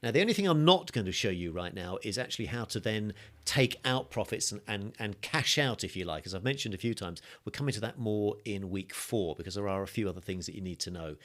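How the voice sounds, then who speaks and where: A man's voice: on the low side, expressive, quick pace, fairly bright tone. A man teaching a crypto course, walking through a screen-share tutorial in a chatty, upbeat way with fillers, his voice a little worn. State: slightly losing his voice, coughing.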